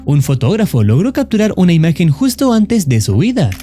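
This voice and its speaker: A man narrating a horror story as a scripted voice-over, in a steady, solemn storytelling manner with ominous emphasis. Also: high voice, a little fast, soft background music. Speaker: YouTube horror channel narrator.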